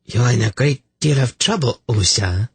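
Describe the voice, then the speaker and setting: A scheming fantasy priestess played by a man with a throaty, husky purr. The voice is lifted well above the narrator's own, smug, imperious and full of menace. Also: mid-range voice, big pitch swings, a little slow, no echo, voice pushed up. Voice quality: throaty, almost purring.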